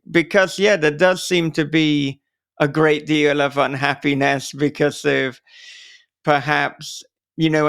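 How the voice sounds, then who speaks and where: A man's voice: fairly high pitch, gravelly, a bit bright, clear, resonant tone, no room sound, clean studio sound. A man hosting an interview, talking in an earnest, reflective way as he offers thoughtful advice. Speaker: podcast interviewer and host.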